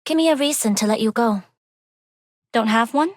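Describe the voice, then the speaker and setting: A woman voicing a refined young underworld heiress in a video game, speaking in a composed, measured and formal way. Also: fairly high pitch, no echo, studio quality, natural voice. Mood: quietly menacing. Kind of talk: scripted game character voice lines.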